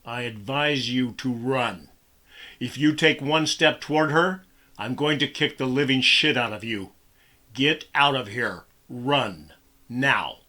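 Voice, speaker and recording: A man plays a steady, protective ranch hand who speaks with quiet command. The voice stays close to the man's own and is firm and even. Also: medium pitch, normal speed.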